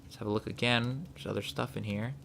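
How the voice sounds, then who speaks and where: Gravelly man narrating gameplay. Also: mid-range voice, fairly even intonation, quick pace, background noise. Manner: thinks aloud, reads in-game notes aloud. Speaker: let's play host. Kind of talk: casual gameplay commentary.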